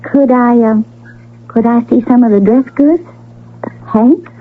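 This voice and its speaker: High, breathy frontier ranch girl. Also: a little slow. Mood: curious. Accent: American. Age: young adult. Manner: timid yet feisty rustic talk. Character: shy, spirited, plain-spoken young frontier ranch woman. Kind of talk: 1970s radio western acting. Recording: tinny, narrow old radio broadcast.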